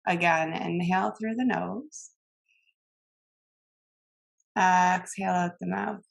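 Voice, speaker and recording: A woman instructor leading a guided meditation in a calm, gentle manner, giving soothing cues with long pauses. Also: on the low side, creaky, rough recording.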